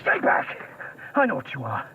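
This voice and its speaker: A man's voice: moderate pace, highs cut off, lightly acted. A man acting an exasperated, protective, sweary survivor in a horror audio drama, blunt and agitated. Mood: alarmed. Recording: very noisy outdoor scene effects.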